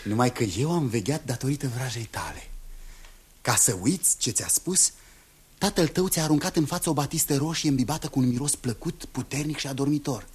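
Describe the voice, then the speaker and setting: An actor playing a bold, lively young hero on horseback in a 1960s radio drama. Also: male voice, medium pitch, lightly acted. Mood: earnest. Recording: hissy 1960s radio recording.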